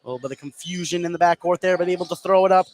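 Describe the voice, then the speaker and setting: A man with a slightly high voice calls a basketball game live on radio. He works as the play-by-play announcer, energetic as he follows the action. Some background noise from the game sits behind him. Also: rapid-fire.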